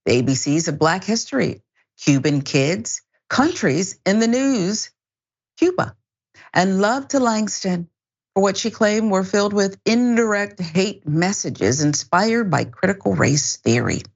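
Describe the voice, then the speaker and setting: Woman, American accent, news show host, medium pitch, emphatic deliberate phrasing, dull lo-fi broadcast sound. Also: expressive, creaky. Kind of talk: news presenting and panel discussion. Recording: dull, band-limited broadcast audio.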